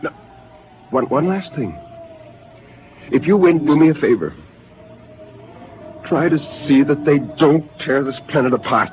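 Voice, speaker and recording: An actor playing a weary, resigned rebel crewman who speaks calmly and quietly, in a 1950s radio drama. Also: fairly high pitch, unhurried, pauses often, a little hiss or hum. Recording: tinny 1950s radio transcription with music.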